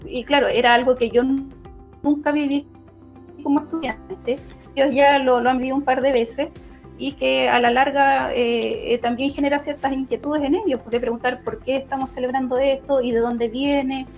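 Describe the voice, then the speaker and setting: Halting Chilean woman, higher-pitched, dull audio. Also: steady tone, darkish tone. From Los Ríos region, southern Chile. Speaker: political candidate and law lecturer. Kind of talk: spoken interview answers in an online debate. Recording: dull lo-fi online audio, music behind.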